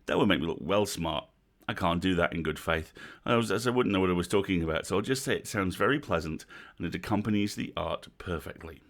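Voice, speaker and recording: A man's voice: deep voice, fairly quick, fairly bright tone. A British man with a wry, dry delivery, hosting a stream and reading a written review aloud with casual asides. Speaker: host of a livestream reading series.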